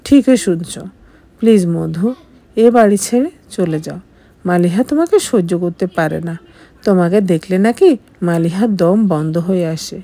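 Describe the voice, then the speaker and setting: A woman's voice: on the low side, expressive, measured pace, warm tone. A woman voicing a cold but pleading husband in an audio story, earnest and insistent. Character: married man, cold yet pleading husband.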